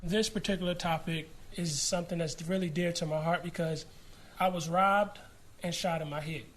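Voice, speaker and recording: A man's voice: on the high side, fairly even intonation, moderate pace. A young man in his twenties speaking earnestly in a panel discussion. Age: 20s. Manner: earnest, personal. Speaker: focus-group participant.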